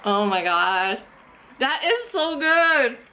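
A woman's voice: sits high, very animated, slow, pushed and bright, slight background noise, poor audio. A woman in her thirties chatting enthusiastically while tasting and reviewing food indoors, with lots of casual fillers. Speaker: food vlogger. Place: small indoor room, eating at a table.

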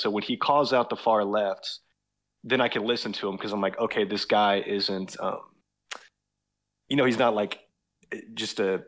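A man calling in to a talk show, speaking hesitantly and searching for his words. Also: fairly low pitch. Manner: hesitant, lots of fillers and restarts, searching for words. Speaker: caller. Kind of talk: casual phone-in conversation.

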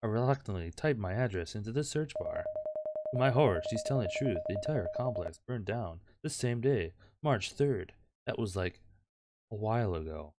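Low-voiced man reading a game aloud. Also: expressive, normal speed, very noisy. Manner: playful, dramatic read-aloud with stumbles and ad-libs. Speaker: let's play host. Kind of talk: reading visual novel text aloud with joking asides. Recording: music behind the voice.

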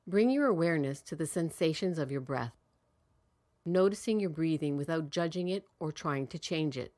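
A woman with a low-pitched voice narrates a guided meditation. She speaks calmly and soothingly, in measured phrases, and leaves long pauses for the listener.

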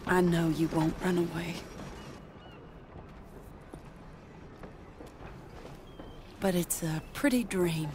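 An actress playing a genteel, wistful American woman in love, measured and refined, in a Western video game. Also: fairly low pitch, fairly even intonation, a little mellow, slight background noise, natural voice. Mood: pleading, wistful. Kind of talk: cinematic game dialogue and letter reading.

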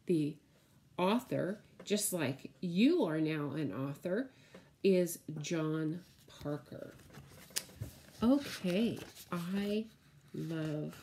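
A middle-aged woman reads a picture book to little children in a fairly low voice. Her delivery is very slow and deliberate, with words drawn out and simply explained, as a preschool teacher would at story time. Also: animated, dark tone, slight background noise. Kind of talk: picture-book read-aloud for small children. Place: indoors, room at home with window blinds.

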